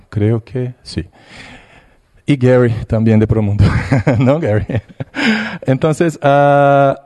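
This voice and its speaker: A man's voice: on the low side, dark tone. Hesitant Brazilian man presenting. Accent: Brazilian Portuguese. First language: Portuguese. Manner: hesitant, pausing, restarts words. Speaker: conference presenter. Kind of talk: presentation with slides.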